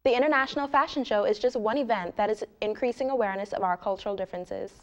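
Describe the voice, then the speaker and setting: A woman field reporter delivering a brisk, scripted news stand-up. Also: mid-range voice, lo-fi sound.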